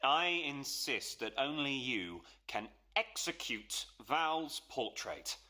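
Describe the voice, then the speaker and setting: An actor in a radio play voicing a posh, eager young suitor, effusive, flustered and deferential. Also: male voice, mid-range voice, big pitch swings, takes it slowly, pushed and bright, full character voice. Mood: insistent pleading. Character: eager, gushing, posh young fiancé wooing his father-in-law.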